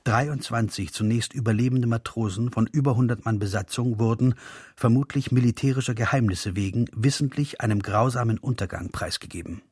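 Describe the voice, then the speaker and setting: An actor playing a grave, bitter Russian dissident intellectual in a radio drama, speaking in a deliberate, confiding way. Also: male voice, mid-range voice, darkish tone, slightly rough, natural voice. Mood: bitter, sober.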